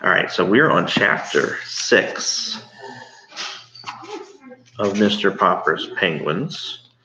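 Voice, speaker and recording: A middle-aged American man, a schoolteacher giving an informal, halting read-aloud lesson to his pupils. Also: deep voice, lively intonation, pushed and bright. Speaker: elementary school teacher. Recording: roomy, dull, faintly noisy home recording.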